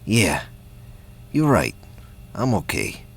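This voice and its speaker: A man's voice: lowish voice, wildly swooping pitch, slow, vocal fry, husky, heavily acted. A man playing an uneasy, hesitant young male crew member who speaks tersely in a sci-fi audio play. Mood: resigned.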